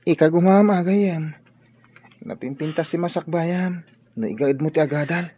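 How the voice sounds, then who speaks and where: A man's voice: a little high, a little slow, husky. An actor playing a young male student who talks earnestly and encourages his friend in a radio drama. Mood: encouraging. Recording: dull radio drama audio.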